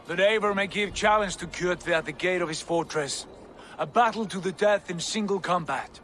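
An actor playing a confident, commanding Viking jarl in a game drama, with a lordly, declamatory way of talking. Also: male voice, sits high, normal speed, fairly bright tone, soft background music. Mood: resolute. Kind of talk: cinematic video game drama.

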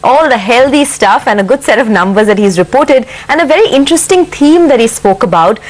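Brisk news anchor woman. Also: a little high, expressive, poor audio. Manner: brisk, conversational questioning. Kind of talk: TV business news interview.

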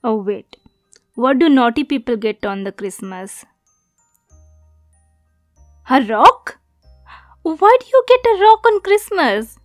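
A very slow, slightly high mermaid girlfriend, played by a woman, speaks in an ASMR roleplay. She is naive and sweet with a possessive edge, coy and breaking into whispers. The voice is close and dry. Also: big pitch swings, dry recording, soft background music, full character voice. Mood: excited, delighted. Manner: coy, giggly, often dropping into whispers.

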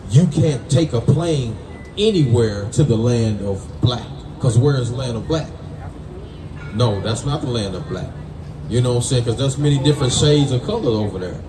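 An American man preaching as a street Bible teacher, emphatic and colloquial, punctuating his points with "right?" and "man". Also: mid-range voice. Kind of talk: call-and-response Bible teaching. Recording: distant roomy mic amid people's noise.

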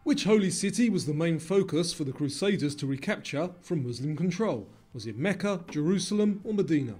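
A man delivering scripted quiz narration, reading out questions and answers with clear enunciation. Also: on the high side, lively intonation, a little fast, dark tone. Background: music.

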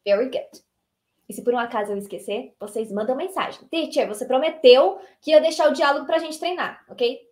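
A young Brazilian woman teaching English online from a small room, lively and encouraging, reading the English lines out slowly. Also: sits high. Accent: Brazilian Portuguese. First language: Portuguese.

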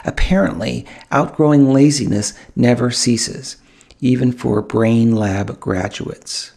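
A man narrating a first-person memoir as an audiobook, telling the story wryly with dramatic pauses. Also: mid-range voice, gravelly, darkish tone. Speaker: author reading own audiobook.

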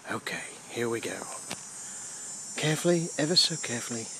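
A man hosting a playful mock wildlife documentary in a theatrical way, sometimes hushed and repeating his phrases. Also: medium pitch, animated, fairly quick, creaky, rough recording. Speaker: host of homemade nature show. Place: outdoors in a grassy yard by trees. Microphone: handheld phone camera, speaker behind it. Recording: outdoor phone audio with insect noise.

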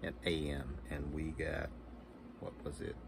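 Man, middle-aged, deep pitch, casual advice to camera, short plain phrases, clearly noisy room sound. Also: completely flat delivery, a little slow. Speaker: video host. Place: indoors, unfinished workshop or basement.

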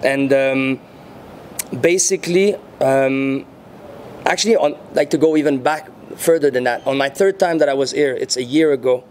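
Québécois man, unhurried interview answers. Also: medium pitch, slight background noise. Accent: Quebec French. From French-speaking Canada. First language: French. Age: 30s. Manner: unhurried, searching for words, frequent fillers. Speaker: interviewee, muralist and rapper. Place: indoor venue before a painted backdrop. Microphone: handheld mics held near the chin.

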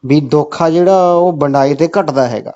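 Insistent Punjabi man, high-pitched, on the phone. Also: moderate pace, warm tone. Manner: insistent, repeats stock phrases. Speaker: caller in a comic phone call. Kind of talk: comic phone call, conversational skit. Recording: dull, lo-fi phone call recording.

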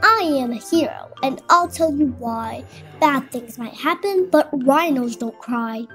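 Woman voicing a self-assured rhino movie-star hero, high-voiced. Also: animated, measured pace, a bit bright, faint music behind, heavily acted. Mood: proud.

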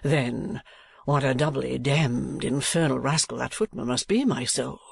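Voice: mid-range voice, measured pace, creaky, breathy edge, heavily acted. A narrator voicing a foppish, idle dandy of a husband who drawls in an affected, gushingly amorous way. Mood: gushing, wounded.

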